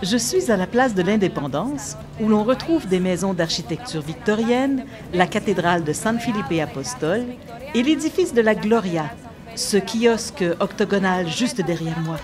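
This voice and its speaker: A woman's voice: mid-range voice, expressive, moderate pace, crowd noise. A woman of nineteen from the Dominican Republic, hosting a travel show in a scripted presenting style. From Puerto Plata, Dominican Republic. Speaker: host and local guide. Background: background music.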